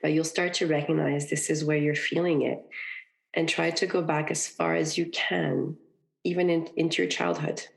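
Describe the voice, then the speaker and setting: A woman from Egypt, a guest on a podcast, talking earnestly and reflectively in a relaxed interview, often adding "you know" and "right". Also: low voice, vocal fry. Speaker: podcast guest, parenting coach. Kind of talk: conversational interview.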